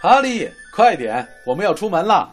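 A man's voice: sits high, very animated, normal speed, over music. A man in his thirties from Guilin playing a cycling traveler talking to his dog in a radio drama. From Guilin, Guangxi, China. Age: 30s. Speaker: cycling traveler, dog's owner.

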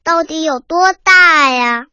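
Radio drama kid, small and inquisitive, high voice, very dry close sound. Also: slow pace, pushed and bright, no echo, full character voice. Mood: curious. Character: curious small child asking questions.

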